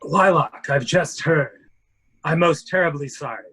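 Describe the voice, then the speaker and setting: A man's voice: fairly high pitch, unhurried, slightly rough, heavily acted. A man playing a genteel, blustering army major with formal, hedging speech in a murder mystery reading. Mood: sympathetic, formal. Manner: formal, hedging, old-fashioned gentlemanly phrasing. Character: blustering, genteel military major running for Congress.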